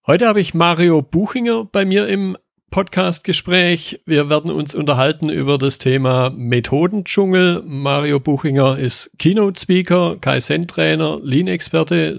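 Gravelly-voiced man hosting a podcast. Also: on the high side, no echo, studio quality. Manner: polished, formal, friendly interviewer. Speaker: podcast host. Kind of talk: scripted intro and interview questions.

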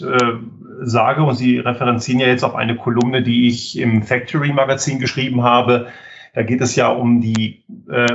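A man's voice: medium pitch, vocal fry. A middle-aged man from Germany talks as a podcast guest, working through his points with many small hesitations. His voice comes over a slightly dull, lo-fi connection with faint noise and clicks behind it. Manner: thoughtful, explains at length with frequent fillers. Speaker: guest, economic physicist and consultant. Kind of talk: podcast interview, explanatory.

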